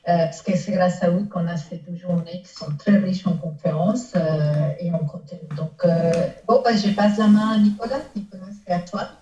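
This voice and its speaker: A woman's voice: lowish voice, moderate pace, hardly any pauses, dark tone, roomy sound, recorded from a distance, lo-fi sound. Woman with a Mexican accent, hesitant. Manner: hesitant, many fillers, light laughs. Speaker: conference facilitator. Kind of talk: event introduction.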